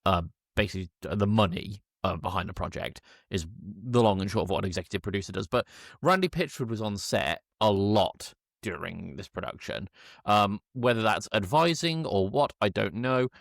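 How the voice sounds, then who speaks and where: A man's voice: lowish voice, lively intonation, normal speed, vocal fry, no echo, clean studio sound. A British man chatting casually as a podcast co-host, wry and blunt, hedging as he goes. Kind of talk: casual chat.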